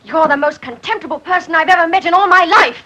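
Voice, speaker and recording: A woman's voice: very high voice, fast talker, pushed and bright. A young woman with an English accent playing a haughty, indignant young tourist in a 1930s film. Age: young adult. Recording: hissy 1930s film soundtrack.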